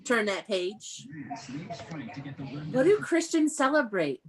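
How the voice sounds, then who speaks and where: A woman's voice: a little high, very animated, slow pace, a crowd in the background, low-quality recording. An American woman teaching young children in an interactive read-aloud over a video call, praising and prompting them with questions. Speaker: elementary school teacher.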